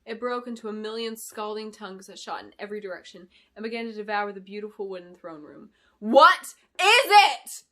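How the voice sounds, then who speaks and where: A woman narrating a fantasy novel in an expressive, deliberate storytelling style, as an author reading aloud. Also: mid-range voice. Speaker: author reading her book. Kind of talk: dramatic scripted fantasy narration.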